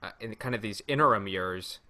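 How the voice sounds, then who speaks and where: A man's voice: lowish voice, a little fast, pushed and bright. An American man hosting a podcast, explaining and steering the chat in a casual style. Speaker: podcast host.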